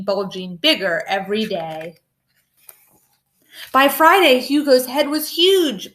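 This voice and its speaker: A woman reads a rhyming children's story aloud. Her voice is high and moves up and down a great deal, in an animated storyteller's style. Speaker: storybook reader. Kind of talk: animated rhyming picture-book read-aloud.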